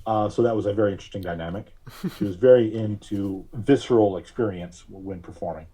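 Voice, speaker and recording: Mellow-voiced man, halting and deliberate. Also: fairly low pitch, slightly rough. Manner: halting, deliberate, with frequent long hesitations. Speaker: podcast guest. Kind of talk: casual interview recollection.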